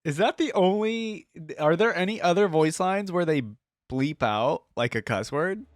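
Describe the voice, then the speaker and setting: A man's voice: high voice, animated, unhurried, no echo, clean studio sound. A young man hosting a reaction video, commenting casually in an amused, informal way.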